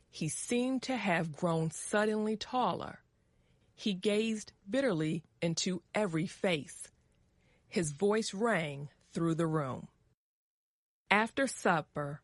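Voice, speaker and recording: A woman reads a story for language learners at a very slow, measured pace. Her voice is on the low side, each word carefully articulated, in a dry, close recording. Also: no echo. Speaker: narrator. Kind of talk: scripted story narration for English learners.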